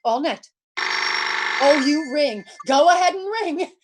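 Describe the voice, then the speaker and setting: A woman's voice: high voice, animated, takes it slowly, very noisy. A woman playing a nervous, demanding bedridden lady in an old-time radio suspense play, stammering, fretful and indignant. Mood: frightened, frantic.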